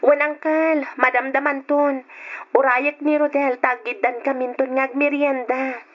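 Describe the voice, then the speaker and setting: Woman as a high-voiced, bubbly young student; lo-fi radio. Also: little pitch movement, a little slow. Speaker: student character. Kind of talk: radio drama acting. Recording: dull lo-fi radio recording.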